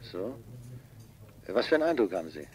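An actor playing an affable, coolly inquisitive intelligence doctor on a telephone call in a 1980s radio play. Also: male voice, low voice, big pitch swings, brisk.

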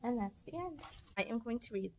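Woman reading rhymes aloud, high lively voice. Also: heavy background noise. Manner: dramatic, deliberate storybook phrasing. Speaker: librarian reading picture books aloud. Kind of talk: expressive rhyming read-aloud for children. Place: indoors in a library room.

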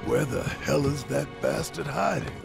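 An actor playing a gruff, hot-tempered, righteous rebel fighter in a video game, with a gravelly voice and emphatic, colloquial, menacing delivery. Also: male voice, mid-range voice, animated, measured pace, over music. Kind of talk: dramatic video game cutscene acting.

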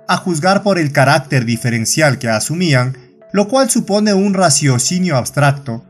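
A man reading a short story aloud as narrator, in measured, even scripted narration. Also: a little high.

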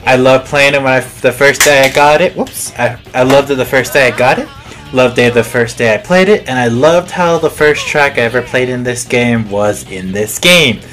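A man giving casual, excitable gaming commentary in a rambling, gushing way as a video host. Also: mid-range voice, normal speed. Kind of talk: casual let's play commentary. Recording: game music under the voice. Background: game intro music.

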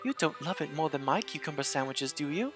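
A man reading the lines of a sweet young girl character in a puzzle game, in a gentle, doting way. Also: on the high side, expressive, fairly quick, over music.

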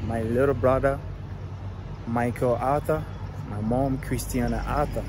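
A young man films himself on a phone held out at arm's length as he walks a town street. He talks slowly and casually to his viewers. Clear traffic noise runs behind him. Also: mid-range voice. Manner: chatty, repeats shout-outs and asks viewers to subscribe. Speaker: travel vlogger. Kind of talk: casual walking-tour vlog. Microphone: phone camera held at arm's length.

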